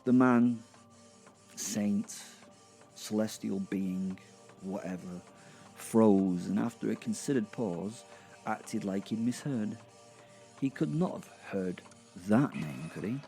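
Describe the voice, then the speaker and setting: A man narrating a first-person horror comedy story with a theatrical, relishing manner and dramatic pauses. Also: fairly low pitch, gravelly, mellow, faint music behind. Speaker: narrator and host.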